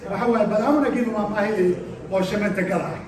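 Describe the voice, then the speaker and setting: Very high-pitched man, heavily echoing hall. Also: racing. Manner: urging, repetitive. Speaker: community fundraiser speaker. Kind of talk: rallying fundraising appeal. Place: reverberant hall with a crowd. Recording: very echoey hall, distant, crowd noise.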